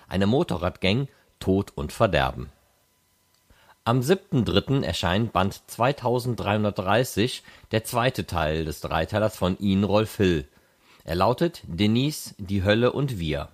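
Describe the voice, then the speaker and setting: A man hosting a podcast, reading out scripted previews and announcements. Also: fairly low pitch. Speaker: podcast host.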